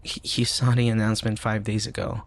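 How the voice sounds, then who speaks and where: A man playing a young college student, a protective, teasing and tender best friend, in an intimate ASMR roleplay with soft, sighing, hesitant delivery. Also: fairly low pitch, little pitch movement, fairly quick, lightly acted. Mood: puzzled, surprised. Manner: soft, sighing, hesitant stammers, breathy asides.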